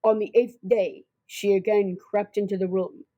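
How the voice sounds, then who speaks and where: A woman's voice: medium pitch, little pitch movement, normal speed, warm tone, lo-fi sound, close to an everyday voice. A girl of about twelve narrating a comic suspense radio drama in a theatrical, scripted way. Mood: suspenseful. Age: child about twelve.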